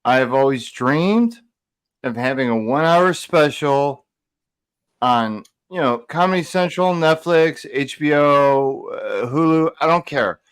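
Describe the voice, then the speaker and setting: A man's voice: on the high side, lively intonation, unhurried, clear, resonant tone. A man co-hosting a podcast in casual conversation, emphatic and repeating phrases for stress. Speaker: podcast co-host.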